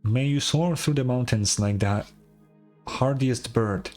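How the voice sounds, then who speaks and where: A man's voice: medium pitch, darkish tone, soft background music, natural voice. Give me a man acting a solemn video game chieftain who speaks formally, as if blessing someone. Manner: measured, formal, ceremonial.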